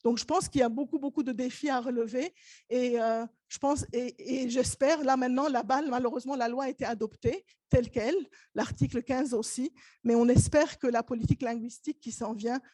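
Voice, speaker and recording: Une femme chercheuse qui présente des résultats de recherche lors d'une table ronde universitaire, d'un ton sérieux et insistant. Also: female voice, sits high, steady tone, brisk, warm tone, no room sound. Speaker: researcher presenting. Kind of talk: academic panel presentation. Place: university conference hall.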